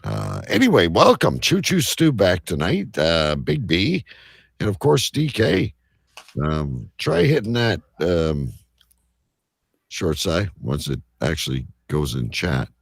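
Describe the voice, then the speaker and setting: A man hosting a live show with casual banter and a rough, gravelly voice. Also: deep voice, huge pitch swings, takes it slowly, no room sound, clean studio sound. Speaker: host of a live online show.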